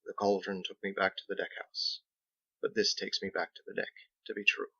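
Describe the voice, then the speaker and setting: A man voicing a measured, thoughtful seafaring captain in an audiobook, with formal, old-fashioned phrasing. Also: deep voice, monotone, sharp tone, husky, highs cut off, heavily acted. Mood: wry, easy. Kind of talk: audiobook character dialogue.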